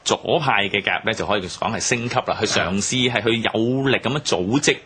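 A man's voice: lowish voice, fairly quick, pushed and bright, husky. A man with a Hong Kong Cantonese accent, giving analytical explanations in a radio history discussion. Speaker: commentator on history radio programme.

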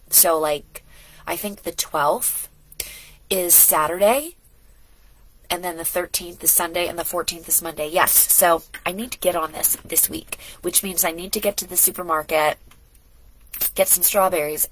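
Woman, middle-aged, congested with a cold, husky lowish voice, rambling chatty home vlog. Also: a bit bright, poor audio. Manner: rambling, chatty, talks in asides and restarts. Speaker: lifestyle vlogger. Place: home studio indoors.

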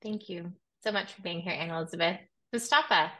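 Woman host, thirties, steady lowish voice, moderates a video-call panel from home. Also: brisk. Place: home room. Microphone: video call with earbuds.

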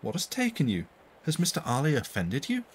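A man's voice: a little high, wildly swooping pitch, brisk, husky, voice pushed up, exaggerated voice. A man voicing an inquisitive young country woman chatting in a storybook tale. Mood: curious.

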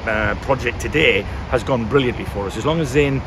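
A man in his fifties, a football manager, talking frankly after a match, often restarting his sentences as he thinks aloud, recorded outdoors beside a pitch. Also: a little fast. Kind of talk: post-match interview. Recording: loud wind and outdoor noise.

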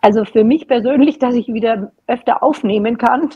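A woman's voice: a little high, brisk, slightly rough, muffled recording. A middle-aged woman speaking casually as a guest in a podcast conversation. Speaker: podcast guest, hobby knitting creator. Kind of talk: casual chat. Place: small home room at a table, indoors.

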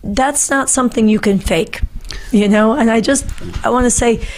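A woman's voice: on the high side, moderate pace. A middle-aged woman hosting a political panel show, speaking with earnest, emphatic conviction and repeating phrases for stress, close on a studio microphone. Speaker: show host. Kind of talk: impassioned political panel commentary. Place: small book-lined studio, indoors. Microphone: boom-arm podcast microphone, close.

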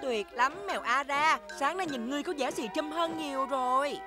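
A woman's voice: high-pitched, moderate pace, lightly acted. A woman playing an earnest, tender-hearted young male gnome, pleading, in a cartoon dub. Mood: fond. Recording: music behind the voice.

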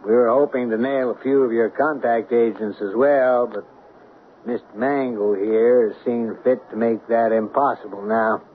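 An actor playing a cool, authoritative FBI agent in a 1970s radio drama. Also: male voice, mid-range voice, fairly even intonation, moderate pace, phone-quality sound, natural voice. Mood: cool authority. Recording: thin, noisy 1970s radio broadcast.

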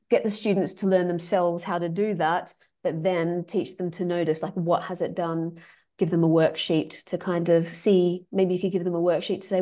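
A woman's voice: on the low side, a little mellow. A woman giving a webinar presentation over a video call, talking with many ums and restarts. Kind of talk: academic webinar talk.

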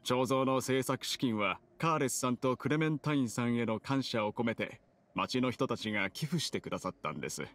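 アニメ調ゲームで、忠実で謙虚な若い補佐役を丁寧な敬語調で演じる男性。. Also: male voice, medium pitch, expressive, brisk, fairly bright tone, slight background noise. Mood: businesslike, polite. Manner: formal, deferential. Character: polite, loyal young male aide, humble and earnest. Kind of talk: Japanese anime game voice acting.

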